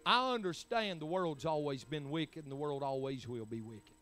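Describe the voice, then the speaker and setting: A man's voice: fairly high pitch, normal speed, pushed and bright. A man, preaching a sermon in emphatic, rising bursts. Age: 40s. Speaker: pastor.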